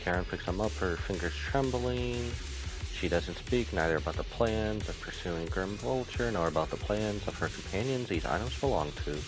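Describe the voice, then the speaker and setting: A man's voice: low-pitched, expressive, moderate pace, over music. A man live-streaming a game, reading its text aloud and dropping in his own asides.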